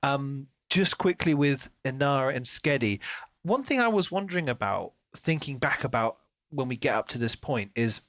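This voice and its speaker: Male podcast co-host, medium pitch, informal and chatty, thinking aloud, recorded close and dry. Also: sing-song, normal speed, fairly bright tone, no room sound. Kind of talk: casual book discussion.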